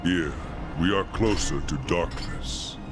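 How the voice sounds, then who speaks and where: An actor playing a solemn angel warrior who guards an outpost of light in a fantasy game, speaking formally and with measure. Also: male voice, low-pitched, takes it slowly, music in the background.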